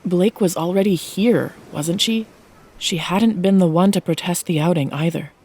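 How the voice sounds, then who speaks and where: A woman's voice: lowish voice, normal speed, a little mellow, slightly rough, dry recording. A woman giving a scripted narration of a fiction story as its narrator, close to the microphone.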